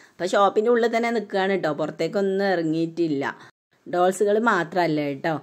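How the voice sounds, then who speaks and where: A mother with a Kerala accent chatting casually while filming a walk through a shopping mall on her phone, often ending sentences with a soft tag word. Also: low-pitched. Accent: Malayalam, Kerala. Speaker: vlogger, mother touring a mall with her daughter. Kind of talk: casual walk-around vlog narration. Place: large indoor shopping mall. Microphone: phone camera held while walking.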